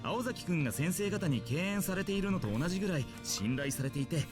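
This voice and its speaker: An actor playing an easygoing, gently teasing male schoolteacher in a Japanese visual novel. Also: high-pitched, lively intonation, rapid-fire, music in the background. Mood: easygoing explaining.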